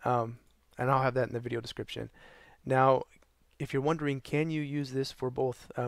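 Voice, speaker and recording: A young man narrating a gaming tutorial while losing his voice, hoarse and tired, rambling with many ums and uhs. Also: dry recording, studio quality. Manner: tired, low energy. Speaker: gaming channel host. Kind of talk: casual tutorial narration over gameplay.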